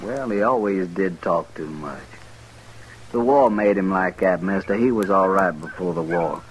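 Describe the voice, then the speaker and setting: Lowish, blunt rural American outlaw played by an actor. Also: male voice, moderate pace, lightly acted. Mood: resigned. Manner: blunt, plain-spoken, folksy. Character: gruff, resigned male outlaw drifter, war veteran. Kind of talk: old-time radio western acting. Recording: noisy vintage radio recording.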